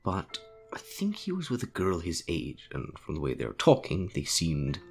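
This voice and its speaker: A man voicing a calm, wise older wizard headmaster in a story reading, measured and reflective. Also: low-pitched, vocal fry, faint music behind, heavily acted. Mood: thoughtful. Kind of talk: dramatic fan fiction audio reading.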